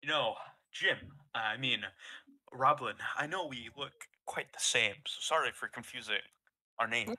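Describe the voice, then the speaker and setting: A man talks casually as a player in a tabletop game. His voice sits at a middle pitch and has a very sharp, bright edge. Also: a little slow. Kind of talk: casual chat.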